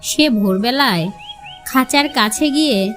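A woman's voice: fairly high pitch, expressive, unhurried, fairly bright tone, soft background music. A woman storyteller narrating a scripted fable for children.

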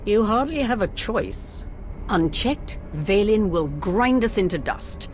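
Casting: commanding dark empress, composed and formal, expressive pitch. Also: female voice, a little hiss or hum. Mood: grave, urgent. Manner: measured, regal, formal. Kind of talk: dramatic game acting. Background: music.

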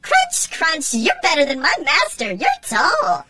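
A squeaky childlike robot. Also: male voice, huge pitch swings, unhurried, sharp tone, a little hiss or hum, full character voice. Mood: excited. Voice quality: squeaky, very high. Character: tiny excitable robot in a tin can, childlike.

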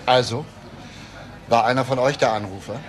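An actor playing a brusque police detective in a radio crime drama, questioning in a brisk, pressing way. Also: male voice, mid-range voice, natural voice. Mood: accusing. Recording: noisy radio drama, background ambience.

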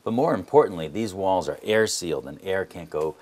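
A man's voice: low voice, expressive, a little fast, a little mellow. A middle-aged man leading an explanatory on-site tour as a home energy contractor. He talks in an earnest, plain-spoken way, with frequent "uh" fillers. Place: indoors in a wood-walled barn and its concrete basement.

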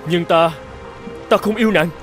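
A man's voice: high voice, fairly even intonation, normal speed, a bit bright, soft background music. A man voicing an earnest captive island chieftain in a cartoon fairy tale, protesting and pleading. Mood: alarmed, protesting. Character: brave adult male island chieftain held captive.

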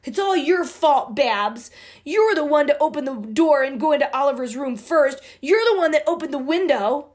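A woman voicing an upset little girl who scolds and pleads in a high, loud voice. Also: expressive, normal speed. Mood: scolding.

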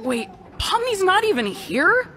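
A woman's voice: extremely high pitch, very animated, unhurried, a crowd in the background, exaggerated voice. A woman voicing a blunt, irritable toy creature in a cartoon, exasperated and dismissive. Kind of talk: animated series voice acting. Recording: loud music behind dialogue.

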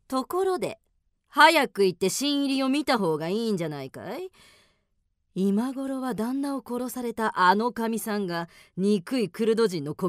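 An actress playing a jealous, sharp-tongued chieftain's wife in a radio drama, brassy and knowing. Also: female voice, medium pitch, dry recording, clean studio sound, natural voice.

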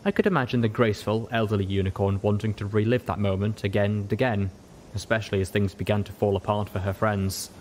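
A man's voice: fairly low pitch, fairly even intonation, quick pace, a little mellow, slight background noise. A man reading first-person fiction aloud as a scripted audiobook narration. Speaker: audiobook reader.

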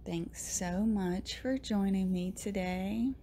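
A woman leading a guided meditation in a soft, breathy, often near-whispered voice, gently soothing, with long pauses between short phrases. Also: medium pitch, slight background noise. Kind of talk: guided meditation, slow and hushed.

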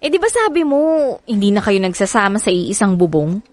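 A woman's voice: mid-range voice, sing-song, fairly quick, a little mellow, dry recording, close to an everyday voice. A woman playing an insecure young mother in a radio drama, questioning her lover in a pleading way. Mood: pressing, doubtful. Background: background music.

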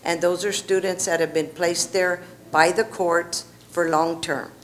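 A middle-aged woman answering a school board's questions, quiet and matter-of-fact. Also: on the low side, steady tone, a little slow. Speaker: district staff member answering the board. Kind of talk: answering questions at a public meeting. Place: school board meeting room. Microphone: podium microphone.

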